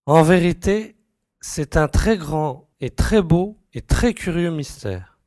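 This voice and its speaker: A man's voice: a little high, lively intonation, slow pace, a little mellow, dry recording, studio quality. A man playing a keen, self-assured young reporter turned sleuth, emphatic and declarative in an audiobook drama. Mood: intrigued. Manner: emphatic, repeating words, declarative.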